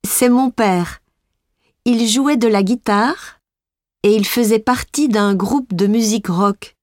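An actress voicing a young female Belgian pop singer being interviewed, speaking slowly and clearly in a scripted exam dialogue. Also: fairly high pitch, a little mellow, dry recording, studio quality. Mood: friendly. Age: young adult. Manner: slow, clear, carefully articulated.

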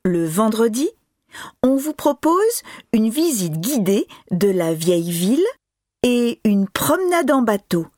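A woman with a fairly high voice reads a notice for a listening test. She takes her time, every syllable crisp, in a dry, close studio sound. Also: sing-song, a little mellow, dry recording. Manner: slow, deliberate, clearly articulated. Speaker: exam recording reader. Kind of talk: scripted informational reading.

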